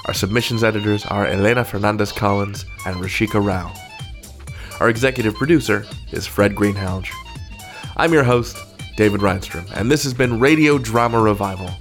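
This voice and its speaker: A man's voice: on the low side, normal speed, soft background music. A man hosting a podcast, reading scripted announcements in a playful, theatrical way with formal flourishes. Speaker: podcast host. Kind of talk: scripted podcast outro with interview.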